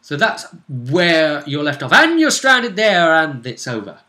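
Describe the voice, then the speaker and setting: A man's voice: fairly high pitch, big pitch swings, a little slow, sharp tone, roomy sound. A man hosting as game master, recapping a role-play session with a storyteller's dramatic delivery. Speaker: game master and show host.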